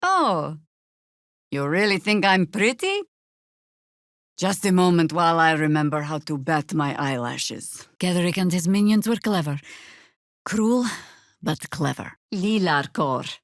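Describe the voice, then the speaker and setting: World-weary older druid warrior, lowish pitch, unhurried, dry sardonic wit, commanding, close dry game audio. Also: female voice, lively intonation, no echo. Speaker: voice actor in a video game. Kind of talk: scripted video game character lines.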